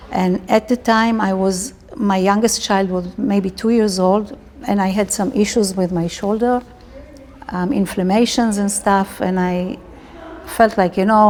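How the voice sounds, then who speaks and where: A woman's voice: mid-range voice, darkish tone, slight background noise. A middle-aged woman from Israel with an Israeli accent, telling personal stories casually as an interviewee.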